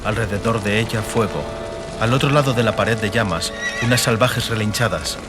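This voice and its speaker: A man narrating a fiction audiobook dramatically, in solemn, measured short phrases. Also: fairly low pitch, loud music behind the voice.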